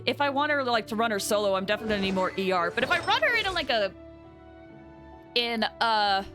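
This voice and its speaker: A woman game streamer chatting casually and excitably, thinking aloud with frequent exclamations as she plays. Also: medium pitch. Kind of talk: casual livestream gaming chat.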